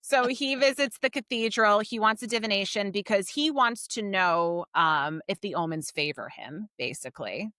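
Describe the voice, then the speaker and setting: A woman's voice: mid-range voice, moderate pace, few pauses, pushed and bright, no echo, studio quality. A woman co-hosting a podcast, explaining things in a casual, conversational book discussion. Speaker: podcast co-host.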